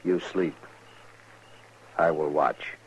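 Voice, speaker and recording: Deep-voiced, stoic runaway in clipped English. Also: male voice, drawn-out words, heavily acted. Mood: commanding, calm. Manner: terse, clipped broken-English phrases. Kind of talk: 1950s radio western acting. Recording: muffled, noisy 1950s radio recording.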